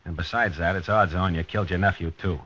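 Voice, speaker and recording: An American actor playing a dogged, weary police lieutenant in a 1950s radio drama, with a clipped, hard-boiled delivery. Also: male voice, low voice, fairly even intonation, fast, a bit bright, breathy edge, dry recording. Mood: blunt, pressing. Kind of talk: 1950s radio drama acting and first-person narration. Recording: dull vintage 1950s radio recording.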